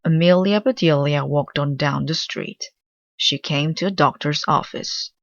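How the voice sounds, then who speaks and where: A woman narrating a children's storybook aloud in a measured, deliberate way. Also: sits low, no echo, dull audio. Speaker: storybook reader.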